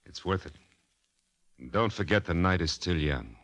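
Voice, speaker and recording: Deep radio-play high roller, cool, resolute, clipped replies, unruffled. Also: male voice, lively intonation, moderate pace, fairly bright tone. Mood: cool, terse. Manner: terse, unruffled. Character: cool, resolute high-rolling male gambler. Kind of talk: 1940s-50s radio drama acting.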